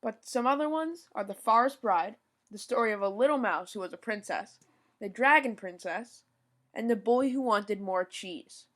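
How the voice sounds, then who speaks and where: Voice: mid-range voice, fairly bright tone. A young podcast host giving a scripted informational rundown, now and then stumbling over foreign names and apologizing.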